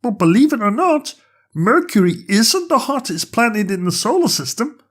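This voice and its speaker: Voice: medium pitch, big pitch swings, moderate pace, slightly rough, pitched above the performer's own voice. A storyteller voicing a small, chubby, friendly alien creature who eagerly explains things as a planetary guide in a children's bedtime story. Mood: explaining.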